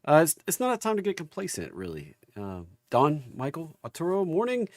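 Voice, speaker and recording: Guy with a gravelly voice getting over being sick, chatting casually on a stock livestream, folksy, dry close sound. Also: on the high side, huge pitch swings, brisk, dry recording. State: recovering from an illness. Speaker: host of a stock market livestream. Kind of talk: casual livestream chat and market commentary.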